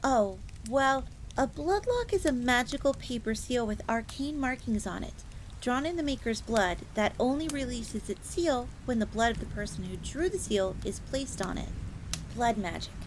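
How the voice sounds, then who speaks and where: A woman's voice: on the high side, lively intonation, moderate pace. A woman playing a chatty, deferential fox maid who talks about herself in the third person in a fantasy audio roleplay. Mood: chatty, explanatory. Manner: refers to self in third person, informal, explanatory. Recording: clear background noise, crackling fire ambience.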